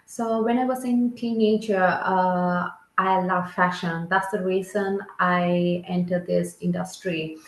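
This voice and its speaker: Slow-speaking woman from Sri Lanka, echoey call. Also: lowish voice, steady tone. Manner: slow, searching, with non-native grammar. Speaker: guest. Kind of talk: casual interview answers.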